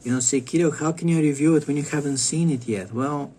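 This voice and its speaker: A man with a raspy Bulgarian accent and a scratchy throat, ranting bluntly and provocatively in a casual monologue, coughing and repeating himself. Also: a little high, fairly quick, mellow. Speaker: wrestling commentator vlogger. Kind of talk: casual opinionated rant.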